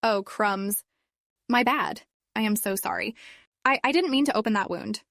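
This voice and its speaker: A woman's voice: medium pitch, normal speed, fairly bright tone, no room sound, clean studio sound, close to an everyday voice. A woman voicing a nervous, polite, wordy male imp husband.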